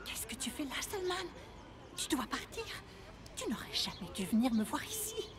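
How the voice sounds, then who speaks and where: A woman's voice: high voice, very animated, moderate pace, noisy background. A woman playing an anguished young bride in a French film dub, pleading and emotional. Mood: alarmed. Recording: music behind the voice.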